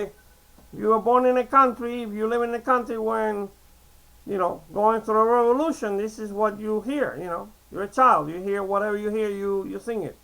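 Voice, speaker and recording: High-voiced chatty man in his sixties. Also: gravelly, fairly bright tone, low-quality recording. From Cuba. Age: 60s. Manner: chatty, digressive, repeats "okay?" and restarts often. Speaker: vlogger. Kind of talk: casual rambling monologue to camera.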